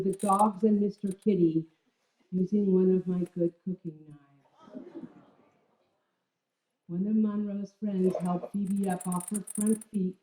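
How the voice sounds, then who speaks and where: A woman reading a literary memoir on stage in an expressive, measured storytelling manner, with deliberate dramatic pauses. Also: mid-range voice. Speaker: reader of a literary memoir at a live storytelling event. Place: indoor stage venue. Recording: echoey room, dull lo-fi sound.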